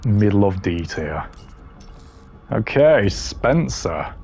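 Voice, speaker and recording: A man's voice: on the low side, sing-song, takes it slowly, creaky, a little mellow, breathy edge. A man giving casual, opinionated gaming commentary in a blunt, slangy way, ranking voice lines. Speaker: gamer ranking game voice lines.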